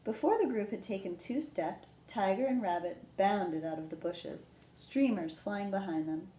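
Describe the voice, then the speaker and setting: A woman hosting a children's storytime, explaining things simply and gently and reading a picture book aloud. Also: mid-range voice, normal speed. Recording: roomy echo, background noise.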